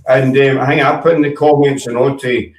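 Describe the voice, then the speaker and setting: A man's voice: mid-range voice, fairly even intonation, brisk. A man on a casual video chat from home, rambling and joking with the others. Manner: jokey, rambling banter. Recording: echoey room, far from the mic, faint noise.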